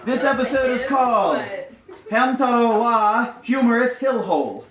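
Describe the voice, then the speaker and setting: Live comedy story reader, real expressive, fake-serious storybook style, amused, bit echoey. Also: medium pitch, unhurried, crowd noise. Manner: mock-formal storybook delivery, amused. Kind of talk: scripted live comedic narration.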